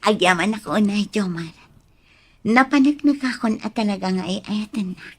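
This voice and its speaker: A woman playing a young woman in love, trusting and easily hurt, in a drama with a husky voice. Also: medium pitch, normal speed, lightly acted. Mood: tender. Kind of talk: Ilocano radio-drama style acting.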